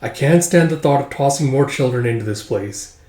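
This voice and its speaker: A man's voice: medium pitch, normal speed, darkish tone, close to an everyday voice. A man voicing a weary fighter who speaks solemnly and from the heart in a comic read-along. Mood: solemn, tender. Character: weary, sincere fighter man looking back on life. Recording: roomy, echoing sound.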